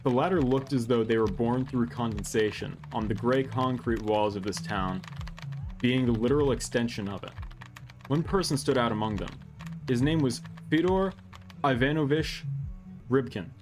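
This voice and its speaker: A man doing low-key, casual game commentary and reading text aloud, sometimes halting over unfamiliar words. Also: music in the background. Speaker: gaming video host.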